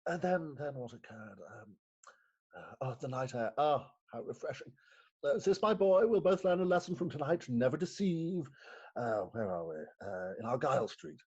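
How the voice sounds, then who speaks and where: An actor playing a flustered, guilty, respectable magistrate in a theatrical comic reading, stammering and hesitant with a husky voice. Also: male voice, medium pitch, expressive, normal speed, a little mellow. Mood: dazed, rueful. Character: flustered, guilt-ridden, respectable London magistrate, disheveled after a night out. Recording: dull lo-fi remote recording.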